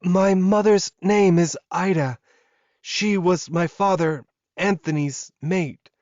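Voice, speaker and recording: A man's voice: high voice, slow, a little mellow, no echo, lo-fi sound, voice pushed up. A man voicing an evil young werewolf woman in an audiobook, pleading and emotional while she schemes. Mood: tearful. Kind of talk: audiobook character acting.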